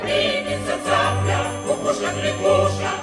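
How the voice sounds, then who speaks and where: An actress playing a lively fairy-tale bird, singing a folk song in a theatrical 1940s radio play. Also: female voice, measured pace, natural voice. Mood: playful, festive. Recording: 1940s radio recording with music.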